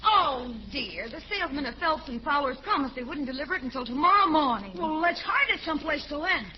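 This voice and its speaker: An actress playing an excitable, polite young niece in a 1940s radio comedy. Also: female voice, sits high, a little fast. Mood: fretful. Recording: muffled, hissy old radio recording.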